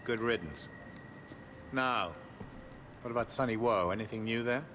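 A man's voice: mid-range voice, a little slow, natural voice. An actor playing a calculating male police commander who speaks formally and measuredly. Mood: cool. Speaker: police superior. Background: game score music.

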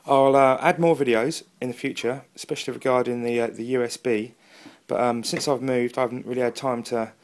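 A man's voice: mid-range voice, fairly even intonation, fairly quick, hardly any pauses. Rambling Englishman, casual and self-deprecating. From England. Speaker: amateur radio hobbyist and video reviewer. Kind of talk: casual product review and radio chat. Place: indoors at a wooden table, off camera.

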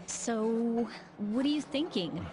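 An actress playing a stern, nagging girlfriend, pointed and matter-of-fact, in an animated game cutscene. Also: on the high side, takes it slowly. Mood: probing. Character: stern, nagging long-time girlfriend, composed and controlling. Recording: loud music under the dialogue.

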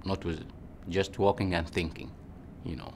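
A man in his forties speaks with an Egyptian accent in a very low, deep voice. He answers interview questions slowly and haltingly, often restarting and adding "you know." A faint hum of room noise sits behind him. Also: steady tone. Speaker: physician.

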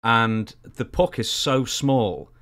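A man's voice: mid-range voice, animated, slow pace, a bit bright. A young man chatting casually as a podcast co-host, speaking into a studio microphone up close. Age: young adult. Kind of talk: casual conversation. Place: small home studio room, indoors.

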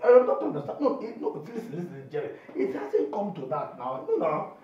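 An actor with a Nigerian accent playing an excitable, fickle friend in a comic film, exclaiming, repeating himself and stammering. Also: male voice, sits high, brisk, echoey room, dull audio, slight background noise. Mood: pleading. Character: excitable, food-loving male friend, comically fickle peacemaker. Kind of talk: broad comic film acting.

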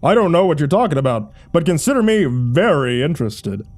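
Man acting a high, excitable goofy buddy. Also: a little slow, no echo. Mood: eager, pained. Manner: emphatic, exaggerated, earnest. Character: goofy, excitable, impulsive male buddy, boastful jokester. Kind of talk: audio story character voice.

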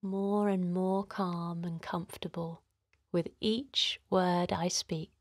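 A woman gently guiding a children's relaxation in a soothing, encouraging way, her voice softly breathy and hushed at times. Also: lowish voice, steady tone, takes it slowly, dry recording, clean studio sound. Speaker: host of children's guided relaxation. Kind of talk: guided meditation narration for children.

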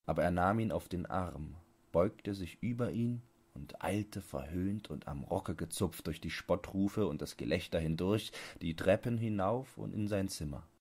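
A man's voice: low voice, a little slow, darkish tone, slightly rough. A man narrating a literary audiobook as a scripted reading.

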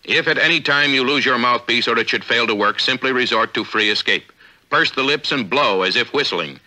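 Man, American accent, 1950s training-film narration, very bright forceful voice, authoritative, measured, thin vintage soundtrack. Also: mid-range voice, poor audio.

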